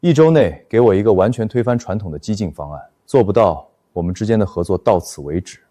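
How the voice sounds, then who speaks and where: A man plays a demanding executive client in an animated drama. He is cold and exacting, with a low, dark, mellow voice. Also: quick pace. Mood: stern. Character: cold, demanding male corporate client boss. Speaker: actor in an animated short drama. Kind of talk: dubbed animated workplace drama.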